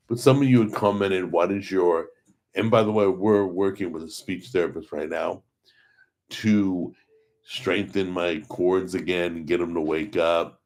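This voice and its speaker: Hoarse low-voiced man chatting on a livestream. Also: a little mellow. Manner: rambling, chatty, greets viewers by name. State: hoarse from a paralyzed vocal cord. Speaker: host of a cooking channel livestream. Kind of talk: casual live stream chat.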